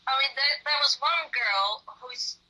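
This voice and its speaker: A woman's voice: sits high, a little slow, bright, forceful tone, poor audio. A middle-aged woman with a Dutch accent, an author chatting casually and bluntly in an interview, often restarting her sentences. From Netherlands.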